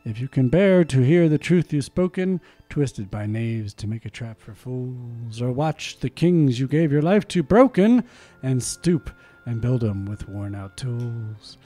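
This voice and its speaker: A man hosting a community radio show, reading poems aloud with theatrical swells and slipping into quiet, murmured asides between them. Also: mid-range voice, lively intonation, measured pace. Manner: theatrical, swells loud on key lines, then drops to quiet murmured asides. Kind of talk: dramatic poetry reading with chatty asides. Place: small community radio studio. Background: soft music underneath.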